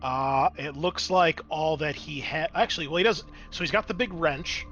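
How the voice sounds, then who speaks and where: A man's voice: on the high side, normal speed, a bit bright, dull audio. A man acting as game master, explaining a tabletop roleplaying scene in a casual, conversational way.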